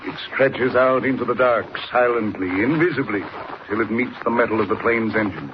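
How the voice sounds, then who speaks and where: An actor playing a sinister, boastful professor in a 1940s radio drama, speaking in a measured, smug, theatrical way. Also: male voice, mid-range voice, close to an everyday voice. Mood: gloating. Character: sinister, smugly boastful male professor villain. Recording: noisy, dull old 1940s radio recording.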